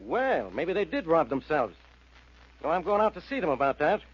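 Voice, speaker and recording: An actor playing a blustering, impatient police inspector in 1940s radio style. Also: male voice, fairly high pitch, very animated, normal speed. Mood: businesslike. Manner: gruff, impatient, emphatic. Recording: dull old radio recording.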